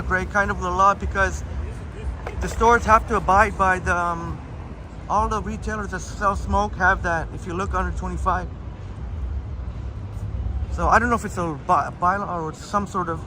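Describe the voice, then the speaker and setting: A man's voice: high voice, background noise. A man hosting a casual livestream outdoors on a city street, chatting with viewers and reading their comments. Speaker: livestream host. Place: outdoors on downtown city street at night, walking.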